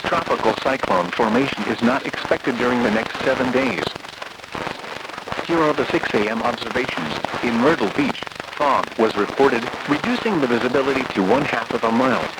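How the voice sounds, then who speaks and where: A synthetic-sounding man reading a scripted automated weather bulletin in a flat, methodical way over a noisy radio broadcast. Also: mid-range voice, moderate pace.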